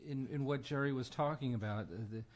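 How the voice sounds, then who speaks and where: A middle-aged American man talking conversationally as an interview guest, reflective and pausing as he goes. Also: medium pitch. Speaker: guest singer-songwriter and radio show host.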